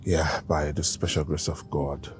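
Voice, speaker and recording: An actor playing a stern, well-off husband with a Nigerian accent in a film drama. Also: male voice, extremely low pitch, level tone, measured pace, slight background noise. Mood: hesitant, polite.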